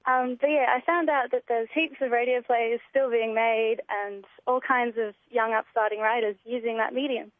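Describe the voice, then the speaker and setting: A woman's voice: a little high, fairly even intonation, moderate pace, hardly any pauses, no echo. A young Australian woman chatting enthusiastically as a guest in a casual radio interview, heard over the telephone. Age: young adult. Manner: enthusiastic, gushing. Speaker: interview guest, student writer. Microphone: telephone call. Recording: tinny narrow phone line.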